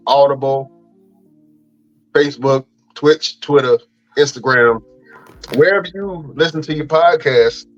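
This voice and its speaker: A man's voice: mid-range voice, dull audio. A man co-hosting a wrestling podcast in a laid-back, slangy way, plugging the show and chatting with his co-host. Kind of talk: casual podcast chat.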